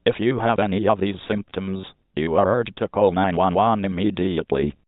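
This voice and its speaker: A man's synthetic-sounding voice reads a scripted emergency alert in a formal, flat manner over narrow, phone-like audio. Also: sits low, moderate pace, no echo.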